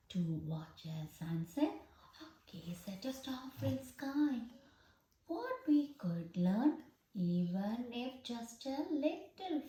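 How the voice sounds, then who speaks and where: A person reading a children's picture book aloud with slow, deliberate, expressive phrasing in an echoey room. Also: mid-range voice. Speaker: storybook reader. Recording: roomy, echoey sound.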